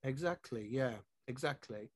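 Yorkshire guy poet chatting in an interview, thinks out loud, dry close sound. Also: mid-range voice, animated, moderate pace, no echo. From Yorkshire, England. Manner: thoughtful, rambling with restarts. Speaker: poet and publisher. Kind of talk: interview conversation.

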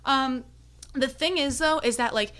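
A young woman with a hoarse voice, chatting casually and telling a long story full of fillers. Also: high voice, unhurried, a bit bright. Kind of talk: casual chat.

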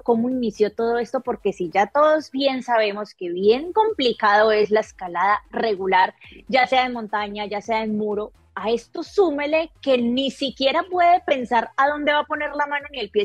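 A woman with a fairly high voice works as an interview host, speaking effusively and with enthusiasm. The sound is dry and close, with music behind her. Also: no echo.

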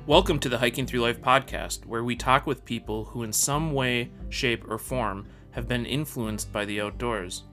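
A man's voice: medium pitch, normal speed, few pauses. Man reading a podcast intro over guitar. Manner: friendly, promotional read. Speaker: podcast producer. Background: guitar music.

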